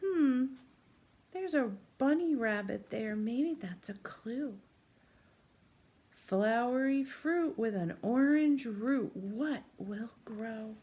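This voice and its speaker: A woman doing an interactive read-aloud for young children, gently asking questions and pausing for answers. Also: on the high side, expressive. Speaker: teacher reading a picture book to young children. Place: small room at home, indoors.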